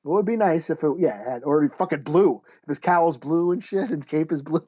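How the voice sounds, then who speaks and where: A man's voice: a little high, moderate pace, dark tone, husky, no room sound. A man co-hosting a podcast, chatting casually in laid-back, profane slang. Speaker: podcast co-host. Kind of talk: casual chat. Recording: dull, dry lo-fi podcast audio.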